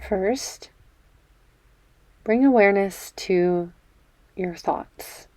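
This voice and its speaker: A woman leading a guided meditation in a gentle, measured, soothing way, leaving pauses between phrases. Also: medium pitch, no room sound, low-quality recording. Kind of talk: guided meditation, body scan.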